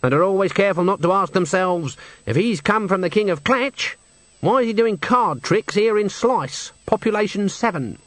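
Brief: male reader as knowing storybook old woman, dry and pointed, pitch lifted. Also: animated, moderate pace, fairly bright tone, no room sound.

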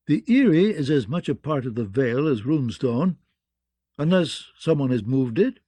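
Male narrator as scheming nobleman, courteous, mildly mocking, unruffled, dark tone, fantasy audiobook. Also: medium pitch, sing-song, moderate pace, slightly rough, dry recording. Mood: smooth, unruffled. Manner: courteous, dry, feigning innocence. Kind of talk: fantasy audiobook character acting.

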